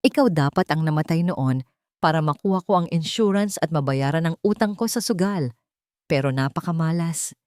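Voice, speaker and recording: A woman's voice: low-pitched, normal speed, darkish tone, no echo, clean studio sound, close to an everyday voice. A woman voicing a villainous male lawyer in an audio story, agitated, threatening and gloating. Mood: bitter gloating. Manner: menacing, agitated.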